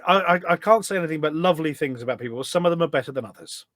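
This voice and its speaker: A man's voice: fairly high pitch, very fast, a bit bright, no echo. A man chatting casually as a podcast guest, an enthusiastic storyteller who rambles along from one detail to the next. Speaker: podcast guest, game voice pack producer. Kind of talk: casual chat, anecdotal.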